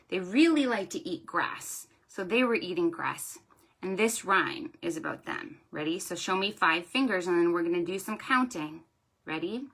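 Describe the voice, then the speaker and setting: Slow, bright-voiced woman leading preschool storytime. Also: mid-range voice. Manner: sing-song, exaggerated delivery for small children; encouraging, asking questions and pausing for answers. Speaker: children's librarian hosting preschool storytime. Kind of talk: interactive storytime for young children, counting rhymes.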